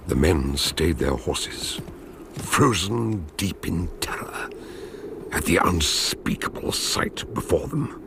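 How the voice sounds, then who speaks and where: An actor playing a grave British ancestor who solemnly recounts a dreadful family legend in a gothic radio drama. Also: male voice, low-pitched, lively intonation, gravelly, background noise, full character voice. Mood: ominous dread. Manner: slow-building, ominous, solemn, archaic storytelling.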